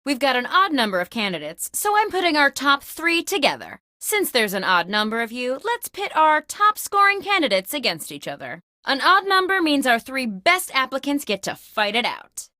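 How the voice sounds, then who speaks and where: A woman's voice: high voice, sing-song, normal speed, hardly any pauses, bright, forceful tone, no echo, studio quality, exaggerated voice. A woman playing a peppy, eccentric corporate boss who hosts a video game contest in an upbeat, office-executive manner. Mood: upbeat, businesslike. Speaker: game host. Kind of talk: scripted game narration.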